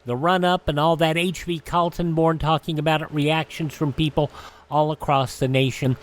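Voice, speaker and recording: A man hosting a radio program in a conversational, somewhat halting and rambling way. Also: medium pitch. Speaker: radio show host.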